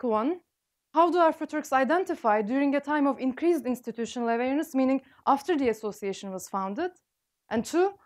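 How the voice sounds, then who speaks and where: A woman in her thirties presents her sociology dissertation research to an audience in a library seminar room, telling it clearly like a story. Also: sits high, darkish tone. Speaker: graduate student presenter. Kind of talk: thesis talk.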